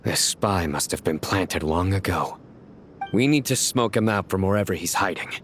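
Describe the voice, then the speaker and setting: A man playing a grave, methodical law enforcer in a video game, formal and deliberate in his speech. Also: low voice, lively intonation, a bit bright, a little hiss or hum. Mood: grave, analytical. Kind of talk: scripted video game voice acting.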